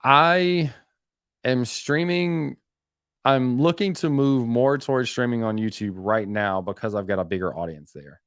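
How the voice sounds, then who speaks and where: A man's voice: mid-range voice, measured pace, clear, resonant tone, no room sound, clean studio sound. A man hosting a live web development Q&A stream, answering chat questions in a casual, conversational way with frequent fillers and restarts. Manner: conversational, many fillers and self-corrections.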